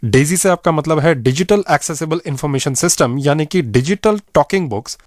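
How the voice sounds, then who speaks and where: A man's voice: a little high, fairly quick, no echo, studio quality. A man with an Indian accent hosting a radio show, presenting and interviewing. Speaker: radio host.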